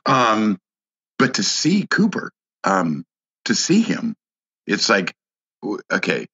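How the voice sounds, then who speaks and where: A man who is a guest on a podcast, telling a personal story in casual conversation, halting with many restarts and fillers. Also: fairly low pitch, wildly swooping pitch, bright, forceful tone, slightly rough, highs cut off.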